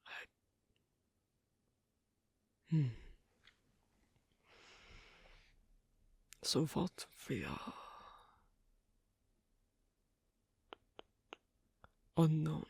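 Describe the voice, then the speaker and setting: A performer playing a shy, bookish, isolated swan king in intimate ASMR roleplay, speaking halting English with a foreign accent in a creaky half-whisper. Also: medium pitch, big pitch swings, warm tone, dry recording, heavily acted. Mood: puzzled, pensive. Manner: halting, hesitant, clipped non-native phrasing.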